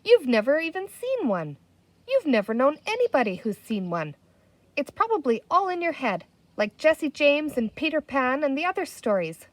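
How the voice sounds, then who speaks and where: A woman's voice: high voice, sing-song, moderate pace, no echo, voice pushed up. A woman reading a children's storybook in the part of a bossy, exasperated young girl with a firm, scolding manner.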